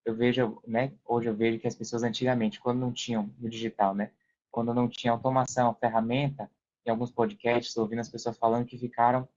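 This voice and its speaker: A man's voice: medium pitch, level tone, quick pace, darkish tone. A Brazilian man explaining things casually as a podcast guest, repeating phrases for emphasis. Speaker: podcast guest, affiliate seller. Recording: dull, band-limited sound.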